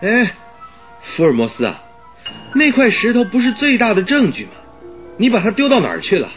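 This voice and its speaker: A man's voice: fairly low pitch, big pitch swings, moderate pace, faint music behind. A man acting in a radio drama as an earnest, puzzled doctor and loyal companion, questioning and mildly chiding. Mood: puzzled, surprised.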